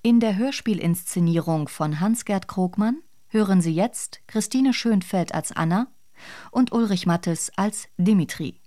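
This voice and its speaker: A woman's voice: mid-range voice, a little slow, mellow. A woman announcer reading a scripted introduction on the radio.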